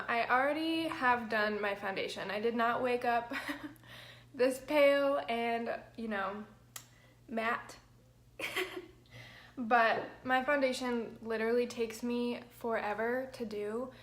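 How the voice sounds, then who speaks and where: A young woman with an allergy-hoarse, crackly voice, chatting through a makeup livestream, rambling with lots of "like" and "um". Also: a little high, echoey room. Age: young adult. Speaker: makeup vlogger. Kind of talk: chatty get-ready-with-me livestream. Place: small bedroom, indoors. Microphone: built-in mic of a camera at arm's length.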